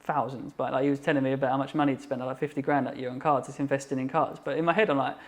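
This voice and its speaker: A man's voice: mid-range voice, fairly even intonation. A man, chatting casually as a guest, rambling with lots of fillers. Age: 30s. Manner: rambling, filler-heavy, quick. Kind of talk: casual chat.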